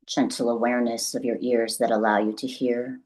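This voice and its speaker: A woman with a notably deep, low voice guides a meditation. She speaks gently and deliberately, leaving long pauses between phrases. Her delivery is soothing, calm instruction. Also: poor audio.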